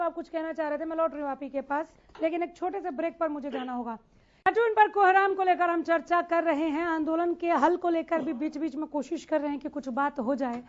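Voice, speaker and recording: A woman's voice: extremely high pitch, brisk. A woman moderating a live TV news panel debate as its anchor. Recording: dull, band-limited broadcast audio.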